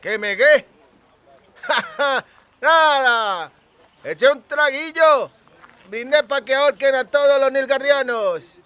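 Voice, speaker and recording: A man's voice: extremely high pitch, slow pace, long pauses, bright, forceful tone, dry recording, voice pushed up, full character voice. A man voicing a drunken, boisterous, friendly young cooper with rustic, exclamatory speech for an audiobook. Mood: boisterous, jolly. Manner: exclamatory, colloquial rustic speech with clipped words.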